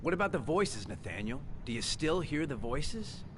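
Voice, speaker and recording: An actor playing an aggressive, taunting police lieutenant in a video game, goading and menacing. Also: male voice, a little high, very animated, normal speed, background noise. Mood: menacing taunts. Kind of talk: video game drama acting.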